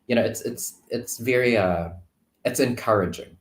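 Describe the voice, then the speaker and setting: A man's voice: mid-range voice, normal speed. A man chatting casually as a guest, talking reflectively in a loose conversational way. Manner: reflective, conversational, many filler phrases. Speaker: guest tarot reader. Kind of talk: casual chat. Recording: roomy, echoing sound.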